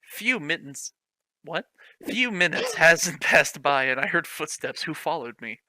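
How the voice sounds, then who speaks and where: A man with a crisp, bright, fairly high voice reads awkward fiction aloud in a mock-serious tone. He is recorded close and dry, with no room sound. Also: very animated, a little slow, dry recording. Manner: reads stiff prose with mock drama, breaks into laughter. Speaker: host reading fan fiction aloud. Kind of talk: comedic dramatic reading with commentary.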